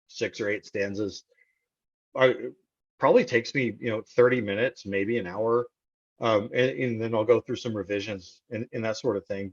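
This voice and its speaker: American man, halting talker, dull audio. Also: on the low side. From Texas, United States. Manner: halting, repeats words as he thinks aloud. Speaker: interview guest, poet. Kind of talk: casual conversational interview.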